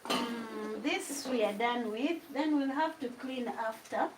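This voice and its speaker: Ugandan woman, slow and chatty, a bit high, faint home noise. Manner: chatty, laughs often, drifts between English and Luganda. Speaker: vlogger. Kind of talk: casual chat while cooking, answering live comments. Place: home kitchen.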